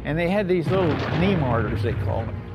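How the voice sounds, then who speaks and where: An older American man, a war veteran, telling his memories informally in a folksy, halting way with repeated phrases. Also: fairly high pitch, expressive, vocal fry, loud music behind the voice. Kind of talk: informal oral-history storytelling.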